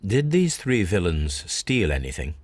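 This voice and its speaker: A man voicing a composed, probing Victorian gentleman detective with an English accent and a formal, courteous, measured delivery in a video game. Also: low-pitched, sing-song, no room sound, heavily acted. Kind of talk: video game cutscene acting.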